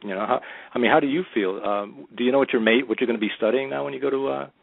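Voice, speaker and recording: A man hosting a talk radio show, rambling rapidly and repeating words as he asks questions. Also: mid-range voice, creaky, no echo. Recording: tinny phone-quality audio.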